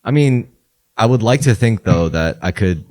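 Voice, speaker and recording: New york dude on a podcast, lowish voice, swears a lot and laughs, kinda rambly. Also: male voice, lively intonation, normal speed, darkish tone. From New York, USA. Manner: rambling, profane, laughs often. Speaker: podcast co-host. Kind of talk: casual chat.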